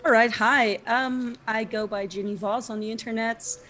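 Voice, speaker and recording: A woman introducing herself as a tabletop game player, hoarse from a cold and cheerful. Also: a little high, steady tone, moderate pace, a bit bright. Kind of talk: casual chat.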